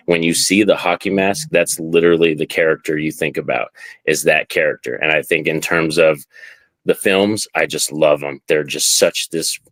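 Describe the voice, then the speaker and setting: A man's voice: low voice, fairly bright tone, dry recording. A man arguing as a contestant on a casual online panel, an enthusiastic fan who rambles. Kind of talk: casual argument on a video call.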